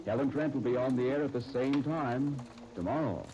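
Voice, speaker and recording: A man announcing on 1930s radio, reading narration and sponsor copy in a polished, dramatic, deliberate style. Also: mid-range voice, dull audio, over music. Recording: old 1939 radio disc with faint crackle.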